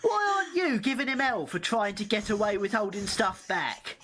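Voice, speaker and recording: A woman's voice: medium pitch, expressive, moderate pace, a bit bright, natural voice. A woman playing an indignant, defensive passenger in an amateur radio play, aggrieved and accusing. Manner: aggrieved, finger-pointing.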